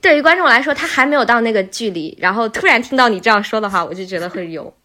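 Female podcast guest, fairly high voice, very lively intonation, casual explanatory talk. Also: quick pace.

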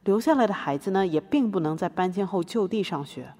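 A woman's voice: lowish voice, animated, a little fast, mellow, slightly rough. A woman playing an earnest, guilt-ridden, defiant activist artist arguing her own defence in a podcast courtroom drama. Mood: earnest, regretful. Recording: hall-like long reverb.

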